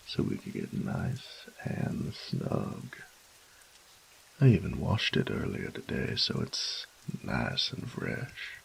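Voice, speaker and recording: A man acts out an affectionate, teasing boyfriend in a romantic audio roleplay. His voice is extremely low and full of fry, drawn out very slowly in intimate murmurs and whispers. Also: a little hiss or hum, full character voice, poor audio. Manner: slow, teasing, breathy murmurs dropping into whispers.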